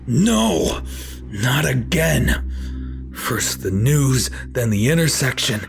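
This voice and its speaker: A man's voice: mid-range voice, big pitch swings, very slow, creaky, background noise, pitched above the performer's own voice, exaggerated voice. A man voicing an anxious, obsessive-compulsive office worker turning coldly violent, in a tense, dramatic first-person horror narration. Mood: frantic. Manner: dramatic, tense, lurching from hushed murmurs to anguished cries. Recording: music behind the voice.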